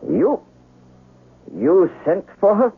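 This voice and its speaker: A man's voice: high-pitched, sing-song, slow, gravelly, slightly rough, heavily acted. A man playing a proud, devoted young samurai master in a radio drama, formal and earnest. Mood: indignant. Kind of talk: old-time radio drama acting. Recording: muffled radio recording with faint noise.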